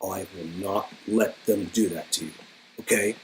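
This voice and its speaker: A man's voice: deep voice, unhurried, a little hiss or hum. A man voicing the protective, wry young hero of an interactive story game, earnest in manner, on an amateur recording.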